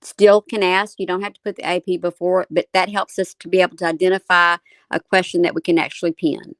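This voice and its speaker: A woman's voice: fairly low pitch, flat delivery, fast talker, dry recording. A woman co-hosting a live discussion, giving opinionated commentary in an emphatic, questioning way. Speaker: co-host moderating a live discussion.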